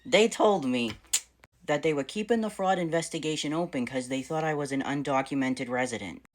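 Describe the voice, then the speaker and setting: A man in his thirties with a fairly high voice, telling personal stories to camera in a bubbly, animated way as he answers a viewer's question. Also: brisk. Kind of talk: casual personal storytelling to camera.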